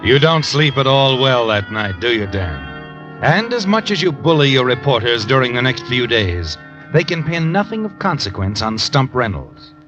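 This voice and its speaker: A man acts as the knowing narrator of a vintage radio thriller. His delivery is insinuating and needling, swinging widely in pitch. It sounds like a muffled old broadcast with music under it. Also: very animated, normal speed, lightly acted. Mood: taunting, ominous. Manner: insinuating, addresses the character as you. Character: sly, knowing narrator taunting the guilty man. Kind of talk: 1950s radio mystery narration.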